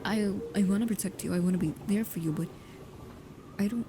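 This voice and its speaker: A woman playing a devoted, anxious, shyly affectionate vampire bodyguard in an intimate ASMR roleplay, speaking softly and haltingly, often near a whisper. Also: medium pitch, natural voice. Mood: nervous, earnest. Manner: hesitant, halting, soft-spoken, often dropping to a whisper. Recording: clearly audible background ambience noise.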